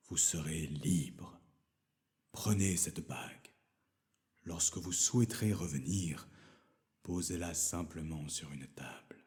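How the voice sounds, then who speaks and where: Deep, slow storybook beast, acted by a male narrator: courteous, resigned, mellow and hushed.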